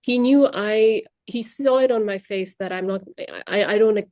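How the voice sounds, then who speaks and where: A woman's voice: mid-range voice, moderate pace, dark tone, no room sound, studio quality. A young adult woman, Tamil native, talking about her work as a language trainer in a reflective, chatty way, with fillers and restarts. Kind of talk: casual interview. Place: Luxembourg.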